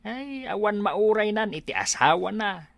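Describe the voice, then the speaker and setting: A woman's voice: mid-range voice, animated, a little slow, gravelly, no room sound. A woman playing a grumbling, sighing village parent with an aching back in an Ilocano comedy drama. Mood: complaining.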